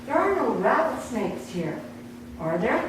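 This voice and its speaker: A woman's voice: on the high side, big pitch swings, a little slow, voice pushed up. A woman voicing an anxious, feisty wife with an emphatic delivery, in a story reading. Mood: uneasy. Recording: echoey room, distant mic, noisy background.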